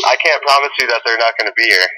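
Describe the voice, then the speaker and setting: A man answers as a hotel clerk over a telephone. His voice is mid-pitched with a bright, forceful edge. He stays polite and amused as he handles the customer. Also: racing, low-quality recording. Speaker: hotel front desk clerk. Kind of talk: customer service phone call.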